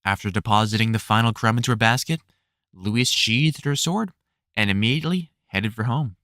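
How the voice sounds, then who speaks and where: A man reading a whimsical storybook as narrator, in an expressive, measured storytelling manner. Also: on the low side, no room sound, studio quality.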